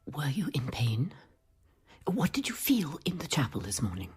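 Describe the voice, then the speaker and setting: An intense, probing nun in a radio play. She whispers insistently in a lowish, extremely breathy voice with animated swoops of pitch. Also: moderate pace, exaggerated voice. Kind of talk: radio drama acting.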